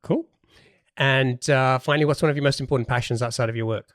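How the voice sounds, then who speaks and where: A man's voice: medium pitch, steady tone, fast, no echo, studio quality. A man hosting a casual interview podcast, thinking aloud with hesitations and repeated words.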